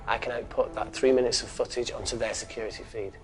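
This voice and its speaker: A man's voice: mid-range voice, fairly even intonation, fast, natural voice. An actor playing a wry, self-sacrificing infected man in a live-action game drama, with music behind him. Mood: wry confidence. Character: wry, self-sacrificing infected male lab colleague in desperate escape. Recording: background music behind the dialogue.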